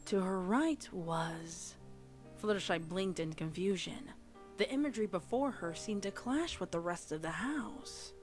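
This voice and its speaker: Lowish-voiced woman narrating dark fiction. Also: animated, measured pace, soft background music. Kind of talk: dramatic scripted horror story narration.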